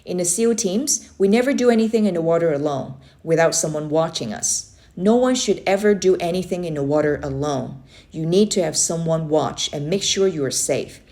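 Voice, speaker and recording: Storybook uncle role, read by a woman: steady, instructive male coach, firm orders, projected and raised. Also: fairly low pitch, moderate pace. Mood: reassuring. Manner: firm, instructive, short commanding sentences. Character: Uncle Jake, firm, reassuring adult male swim coach. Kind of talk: children's storybook read-aloud.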